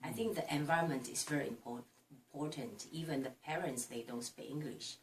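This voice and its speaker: Low-voiced woman speaking haltingly from a distance. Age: middle-aged. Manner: hesitant, halting, with frequent fillers. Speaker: interview guest, mother of five. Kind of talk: casual interview chat. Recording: distant mic with faint noise.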